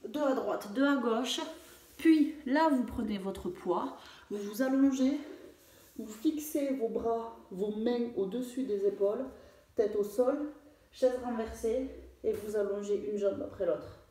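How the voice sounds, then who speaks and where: Slow-talking coach, echoey room. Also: female voice, fairly high pitch, mellow. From France. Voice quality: hesitant, many fillers. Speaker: fitness coach. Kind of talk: home workout instruction. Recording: echoey room, voice far from mic.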